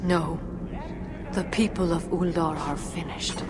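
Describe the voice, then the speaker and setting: A woman's voice: lowish voice, fairly even intonation, slow. A woman playing an embittered, world-weary dwarf leader in a video game, speaking in a blunt, terse manner. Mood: grave, sorrowful. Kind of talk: dramatic video game acting. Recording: reverberant, noisy game cutscene ambience.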